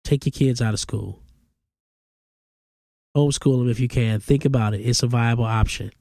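A congested man in his forties talks through his solo podcast in a stuffy, mid-range voice. He argues his opinions emphatically, pushing up into sharp exclamations. The sound is dry and close, with no room around it. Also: mid-range voice, steady tone, normal speed, gravelly, no room sound. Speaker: podcast host.

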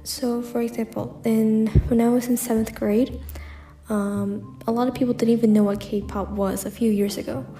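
A woman's voice: on the high side, little pitch movement, normal speed, warm tone. Reflective young woman, echoey room. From United States. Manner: reflective, frequent "like" and "um" fillers. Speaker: podcast host. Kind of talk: personal solo podcast monologue. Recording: echoey room with faint music.

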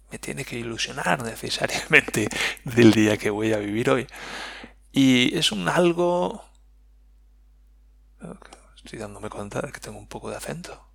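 A man with a Spain Spanish accent talks through his thoughts on his own podcast, pausing and restarting as he muses aloud. His voice has a gravelly, creaky edge. The recording is close and dry, with no room echo. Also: medium pitch, fairly bright tone. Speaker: podcast host. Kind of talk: reflective personal podcast monologue.

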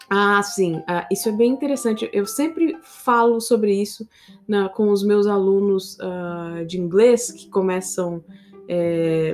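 A woman English teacher with a Brazilian Portuguese accent, hosting a casual solo podcast in a chatty, animated way and often speaking straight to her listeners. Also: medium pitch.

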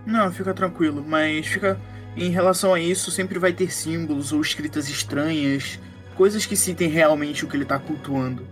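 A man's voice: on the high side, steady tone, normal speed, faint music behind, lightly acted. A man playing a skeptical, analytical psychologist detective in a horror roleplaying game, talking casually with a Brazilian accent. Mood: explanatory. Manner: casual, reasoning.

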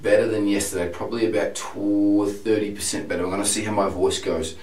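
Male voice coach, congested and a bit hoarse, lowish voice, frank diary delivery, roomy room sound. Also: fairly even intonation, a little mellow. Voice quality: slightly hoarse, strained. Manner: candid, detailed, unhurried self-report. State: congested, recovering from laryngitis. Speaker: voice teacher. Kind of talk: video diary. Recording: roomy, distant, piano in background.

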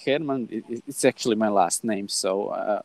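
A man in his thirties from Croatia with a Croatian accent, hosting a casual podcast chat in halting English with frequent restarts. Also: fairly low pitch, vocal fry, darkish tone, dry recording. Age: 30s. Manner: halting, many restarts and fillers. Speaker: podcast host.

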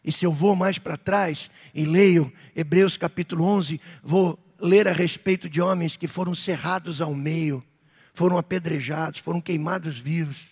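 A middle-aged Brazilian man preaching a sermon as pastor, with fervent outbursts and softer reflective stretches. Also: on the high side, steady tone, normal speed, breathy edge. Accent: Brazilian Portuguese. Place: church hall. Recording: long hall reverb.

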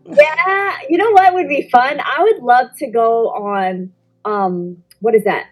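Enthusiastic woman with higher pitch. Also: takes it slowly, low-quality recording. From New York. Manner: enthusiastic, casual. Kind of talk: casual live-stream chat.

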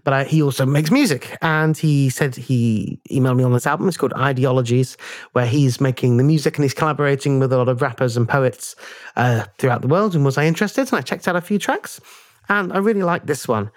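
A man co-hosting a music podcast in casual chat, self-deprecating and quick to laugh. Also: mid-range voice, dry recording, studio quality. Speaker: podcast co-host. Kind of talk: casual radio-style chat.

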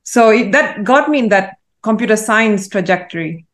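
A woman's voice: medium pitch, lively intonation. Thoughtful woman answering interview questions. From Malaysia. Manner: thoughtful, measured, with frequent fillers and self-corrections. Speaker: guest, AI consultant.

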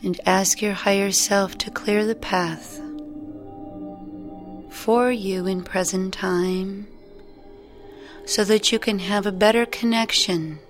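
A woman guiding a meditation in a gentle, soothing, unhurried way, leaving long pauses between phrases. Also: mid-range voice, fairly even intonation. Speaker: meditation guide. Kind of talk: guided meditation narration. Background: music.

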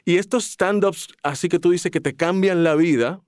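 A man's voice: high voice, moderate pace, smooth, dry recording, clean studio sound. A man musing thoughtfully in a casual conversation. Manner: reflective, thoughtful with many restarts.

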